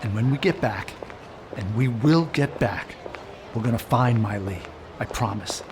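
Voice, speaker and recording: A man's voice: fairly low pitch, measured pace, background noise. An actor playing a loyal, urgent male adventurer in a sci-fi audio drama, earnest and emphatic. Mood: reassuring, resolute.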